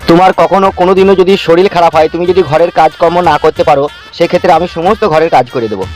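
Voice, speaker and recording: A man's voice: on the high side, steady tone, racing, a little mellow, soft background music, close to an everyday voice. A man voicing a bossy, scolding wedding priest in a comedy cartoon, chanting and instructing.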